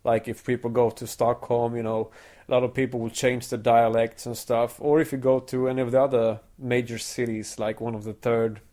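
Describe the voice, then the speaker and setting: A man's voice: medium pitch, flat delivery, brisk, dark tone. A man from Sweden whose first language is Swedish, hosting a podcast in casual chat and often repeating words as he gets going. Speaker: podcast host.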